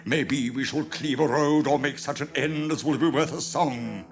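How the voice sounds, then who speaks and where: A man's voice: on the high side, normal speed, soft background music, natural voice. A British actor in a radio drama playing a proud, weary old warrior king, solemn and declamatory. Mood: grim resolve.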